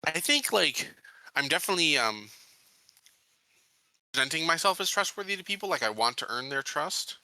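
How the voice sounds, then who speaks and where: A man's voice: fairly high pitch, big pitch swings, moderate pace, long pauses, bright, forceful tone, no echo, rough recording. A man taking part in a tabletop game, talking casually about playing his character.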